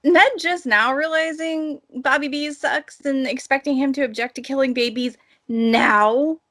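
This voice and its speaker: A woman's voice: high-pitched, steady tone, unhurried, a bit bright. A woman co-hosting a casual book discussion, conversational and playfully sarcastic. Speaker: podcast co-host.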